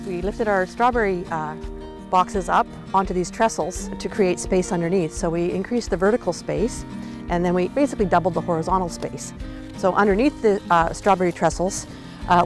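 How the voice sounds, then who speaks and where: Low-voiced older woman farmer. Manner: earnest, relaxed, with fillers. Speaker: urban farmer. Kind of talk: documentary interview. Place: outdoors on a farm. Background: guitar music.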